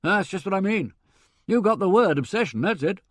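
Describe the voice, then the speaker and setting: A man's voice: high-pitched, lively intonation, a little fast, fairly bright tone, dry recording. A man doing a blustering country squire. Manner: hearty, boorish, blustering. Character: blustering middle-aged English country squire.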